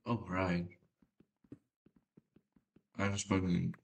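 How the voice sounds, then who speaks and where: A man whose first language is Spanish, giving casual, halting gameplay commentary with a deep voice and many fillers. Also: flat delivery, creaky. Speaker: gamer.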